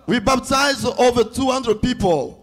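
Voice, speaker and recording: High-voiced man interpreting a sermon. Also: animated, fairly bright tone, faint music behind. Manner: echoes the preacher in short phrases, halting searches for words. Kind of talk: live consecutive interpreting of a sermon. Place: church service.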